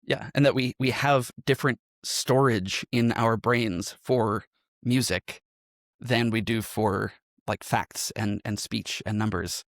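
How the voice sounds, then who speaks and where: A man's voice: lowish voice, steady tone, takes it slowly, no room sound, studio quality. A man speaking as a podcast interview guest, explaining his craft conversationally with examples. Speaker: interview guest, improv musical performer.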